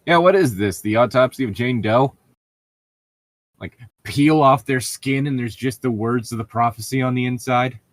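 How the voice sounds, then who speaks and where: A man's voice: medium pitch, normal speed, dry recording. A man reading fiction aloud and mocking it with profane, incredulous, joking commentary. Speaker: host of a fanfiction reading show.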